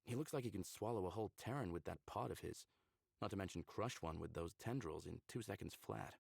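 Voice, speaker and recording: Curious flirty human dude in a visual novel, casual, kinda low with vocal fry. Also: male voice, a little fast, darkish tone, no room sound, clean studio sound, full character voice. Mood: candid. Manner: casual, candid.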